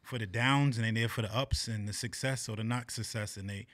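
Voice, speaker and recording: A man in his thirties, a podcast guest chatting casually in a small office room at a desk mic, agreeable and quick to back others up. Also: on the low side, steady tone, a bit bright. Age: 30s. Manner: agreeable, frequent affirming interjections. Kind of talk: casual chat. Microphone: desk mic on boom arm.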